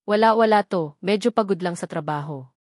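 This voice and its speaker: A woman's voice: medium pitch, brisk, darkish tone, no room sound, clean studio sound, close to an everyday voice. A woman voicing a troubled young woman who hesitantly hides distress behind forced lightness in a dramatic story. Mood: weary, evasive.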